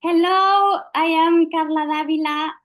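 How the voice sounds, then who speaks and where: A woman from Mexico, a marketing founder speaking slowly on an online panel, pausing often with fillers. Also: extremely high pitch, steady tone. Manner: slow, hesitant, many filler pauses. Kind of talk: panel introduction and discussion.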